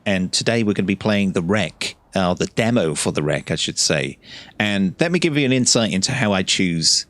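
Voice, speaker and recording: A man's voice: low voice, expressive, normal speed, a bit bright, no echo. A man giving casual let's play commentary as host, gushing and easily delighted, with muttered asides. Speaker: host of gameplay videos.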